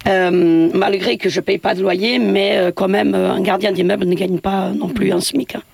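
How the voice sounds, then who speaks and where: A woman's voice: medium pitch, fairly even intonation, normal speed, gravelly, a little mellow, no room sound. A woman from Portugal, a building caretaker speaking as a radio interview guest, hesitant with many fillers and restarts.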